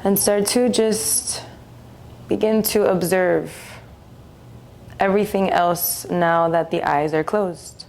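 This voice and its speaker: A woman leading a guided meditation in a soothing, gentle, unhurried way, leaving long pauses between phrases. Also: lowish voice, steady tone, gravelly.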